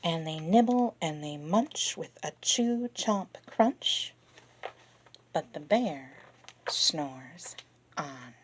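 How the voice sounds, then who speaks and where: Woman, storybook read-aloud, slow and expressive, hushed whispers, speaks to children. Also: low voice, a little hiss or hum. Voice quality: soft, hushed whispery delivery on refrains. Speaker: storyteller reading a picture book.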